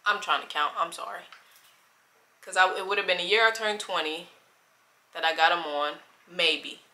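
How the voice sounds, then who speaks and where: Twenty-something girl chatting to her vlog camera, bright sound, kinda low voice, keeps losing her count. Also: moderate pace. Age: 20s. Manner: rambling, loses track of the timeline and self-corrects. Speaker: vlogger. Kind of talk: casual chat.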